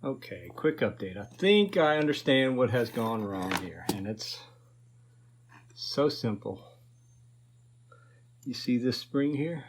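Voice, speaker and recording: Slow-talking man in his fifties, folksy. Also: medium pitch, lively intonation, noisy background. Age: 50s. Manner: folksy, thinking aloud. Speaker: host of a repair video channel. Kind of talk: casual repair narration to viewers.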